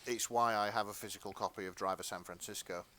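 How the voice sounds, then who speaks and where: A man's voice: lowish voice, fairly even intonation, fast talker, bright, forceful tone. A man co-hosting a podcast, speaking in casual, informal chat. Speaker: podcast co-host.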